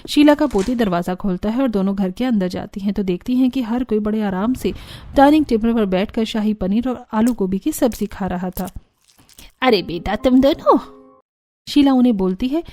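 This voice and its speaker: A woman's voice: a little high, warm tone. A woman narrating a scripted cartoon story as the storyteller.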